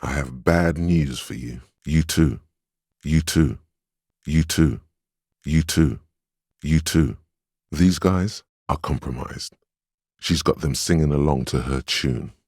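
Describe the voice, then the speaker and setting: An actor playing a stern, weary inner voice of willpower in a video game, speaking in a deliberate, grave, admonishing way with wry, protective authority. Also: male voice, extremely low pitch, a little mellow, breathy edge, dry recording, studio quality, full character voice. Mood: grave, cautioning. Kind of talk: dramatic second-person game narration and inner-voice dialogue.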